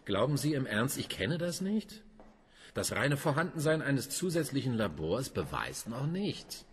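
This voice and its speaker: An actor playing a patronizing, sceptical police inspector in radio drama dialogue, dry and soothing in manner. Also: male voice, mid-range voice, very animated, a little slow, husky, full character voice.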